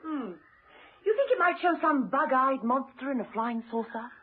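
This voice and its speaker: A woman's voice: high voice, lively intonation, moderate pace, breathy edge. An actress playing a poised, dryly witty British lady investigator in a radio drama, on a muffled old recording. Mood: cool, curious.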